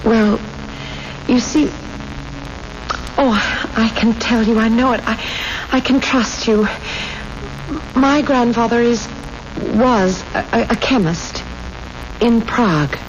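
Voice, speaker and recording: A woman's voice: on the high side, slow pace, long pauses. A woman acting in a 1970s radio drama as a frightened young woman, stammering and imploring. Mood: weary, confiding. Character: frightened, pleading young woman in desperate straits. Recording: muffled, noisy old radio recording.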